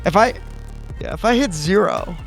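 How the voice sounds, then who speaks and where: Higher-voiced male tabletop player, faint music. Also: huge pitch swings, normal speed, creaky. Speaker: player in a tabletop roleplaying game. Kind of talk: casual game chat and in-character narration.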